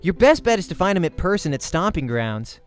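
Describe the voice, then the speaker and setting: Give me a man acting a cocky young gamer guy from a visual novel, teasing and breezy, pitched a bit high and swinging widely. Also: a little fast, faint music behind. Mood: matter-of-fact. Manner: animated, teasing, breezy delivery. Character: cocky, jokey young male pro StarCraft player.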